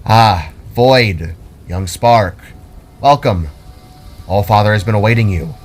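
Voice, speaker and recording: A man's voice: on the low side, slow pace, soft background music. A man voicing a mighty, peaceful Norse war god in a narrated fantasy story, stately, formal and welcoming. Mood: welcoming, genial.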